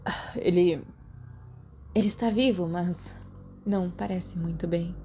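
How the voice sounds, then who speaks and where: A woman with a Brazilian Portuguese accent voicing a caring, brisk young witch, worried but taking charge. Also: lowish voice, fairly even intonation, mellow, a little hiss or hum. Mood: anxious, brisk. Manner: worried, then firm and take-charge.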